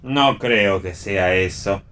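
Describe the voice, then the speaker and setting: A man's voice: fairly low pitch, takes it slowly, a bit bright. An actor playing an aloof, sardonic man with dry, literal retorts in a radio play reading. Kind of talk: radio read theatre dialogue.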